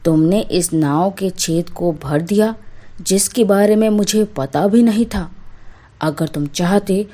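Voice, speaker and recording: A woman voicing an authoritative, deliberate village landlord, a grown man, in a storybook tale. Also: mid-range voice, dark tone. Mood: pleased, grateful. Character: village landlord, commanding but grateful adult man.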